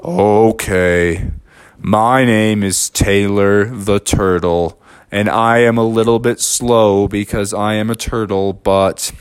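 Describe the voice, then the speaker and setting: A man voicing a shy, slow, friendly male turtle in a bedtime story, talking low and drawn out. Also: smooth, dry recording, clean studio sound.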